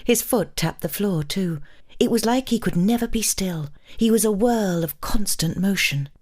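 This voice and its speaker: A woman's voice: mid-range voice, moderate pace, a little mellow, close to an everyday voice. An actress playing a lovestruck, brazen married woman, giving an intimate, wistful dramatic reading of a forbidden romance. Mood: wistful, longing. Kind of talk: dramatic first-person audiobook-style reading.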